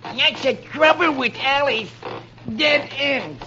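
A man's voice: extremely high pitch, slow pace, over loud music, pitched above the performer's own voice. A man voicing a young, eager cartoon boy while reading newspaper comics aloud. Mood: resigned. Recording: dull old radio recording.

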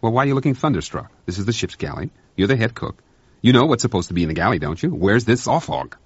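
A man's voice: on the low side, sing-song, quick pace, slightly rough, dry recording, muffled recording, pitched above the performer's own voice, exaggerated voice. A man voicing a harried spaceship officer doing inventory, insistent and by-the-book.

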